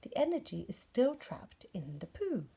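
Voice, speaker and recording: A woman's voice: a little high, big pitch swings, normal speed, vocal fry, mellow, husky, pitched above the performer's own voice, full character voice. A woman voicing a grumpy, proud male rabbit in a children's story, explaining himself with indignant bluster.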